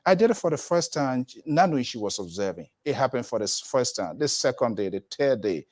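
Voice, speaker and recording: A male host with an animated, rambling way of talking. His pitch swings widely as he introduces guests and tells anecdotes. The sound is dry and close. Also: brisk, dry recording. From Liberia. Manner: animated, rambling, laughs while telling stories. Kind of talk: broadcast talk show hosting.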